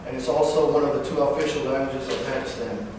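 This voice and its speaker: A man's voice: mid-range voice, fairly even intonation. Man speaking far off in an echoey hall. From Northern California. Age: 20s. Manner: halting, with fillers and self-corrections. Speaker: veteran giving a talk. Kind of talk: personal speech read to an audience. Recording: distant, echoey hall recording with background noise.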